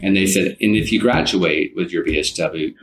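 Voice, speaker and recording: A middle-aged man speaks in a very low voice. He tells personal stories in a casual, self-deprecating way, often quoting remembered exchanges as a guest in an interview. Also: moderate pace, vocal fry, a little hiss or hum, rough recording.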